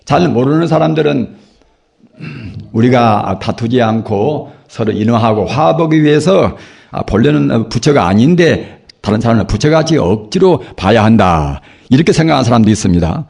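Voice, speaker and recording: Old monk with a cold, gravelly husky voice, lively up-and-down sermon delivery. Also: male voice, mid-range voice. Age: older. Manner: slow, earnest preaching with rhetorical questions. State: hoarse from a cold. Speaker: Buddhist monk giving a dharma talk. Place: temple dharma hall.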